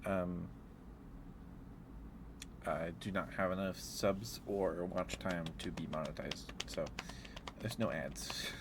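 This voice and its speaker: A man chatting casually on an ASMR livestream as the host, in a hesitant, halting, chuckly and apologetic way. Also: low voice, fairly even intonation, noisy background. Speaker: live streamer hosting an ASMR stream.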